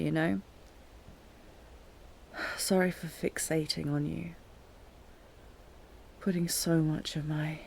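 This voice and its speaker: A slow, breathy, wounded queen played by a woman, held captive and delirious. She murmurs haltingly in a narrow, subdued range, often slipping into a whisper, lovelorn and full of regret. It is a fantasy audio drama with faint noise behind it. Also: sits low, dark tone, heavily acted. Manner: halting, murmured, rambling as if half-dreaming. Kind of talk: intimate scripted audio roleplay.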